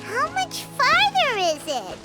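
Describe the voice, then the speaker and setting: A woman voicing a small, excitable, curious fairy companion in a video game, with a squeaky, babyish, cutesy delivery. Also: slow, over loud music, full character voice. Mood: whiny, flustered. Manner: cutesy, exclamatory. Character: small childlike flying fairy companion, excitable, curious, speaks in third person. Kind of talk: video game character acting.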